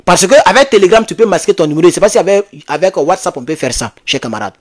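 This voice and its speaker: A man's voice: high voice, quick pace. An Ivorian man in his thirties speaking as an activist host, giving impassioned, urgent commentary to his online followers and repeating phrases for emphasis. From Ivory Coast. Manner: emphatic, repetitive, urgent, animated. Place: small indoor home studio. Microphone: desk microphone close in front.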